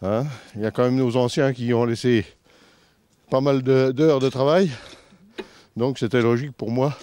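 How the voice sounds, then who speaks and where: A man's voice: mid-range voice, steady tone, moderate pace, mellow, clean tone. A man from the Vosges in France, a volunteer answering questions outdoors in a plain-spoken way with short answers. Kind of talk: casual interview answers. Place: outdoors in a forest.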